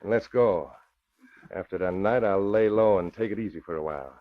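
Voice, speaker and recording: An actor playing a bullying, swaggering gangster killer in old radio drama style, talking in tough slang. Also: male voice, low voice, fairly quick, close to an everyday voice. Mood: brisk, scheming. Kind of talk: 1940s radio acting. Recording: dull vintage radio recording.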